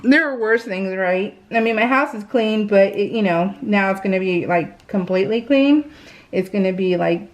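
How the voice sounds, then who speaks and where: A woman's voice: medium pitch, moderate pace, rough recording. A woman chatting casually and ramblingly to her viewers as a craft video host, in a giggly, self-deprecating manner. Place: indoors at home, voice-over recorded while crafting.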